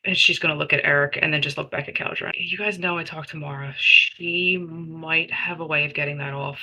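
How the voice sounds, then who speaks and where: Very bright, forceful, halting woman. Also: deep voice, fairly even intonation, muffled recording. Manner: halting, earnest, repeats phrases. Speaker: player in a tabletop roleplaying game. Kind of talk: improvised in-character roleplay.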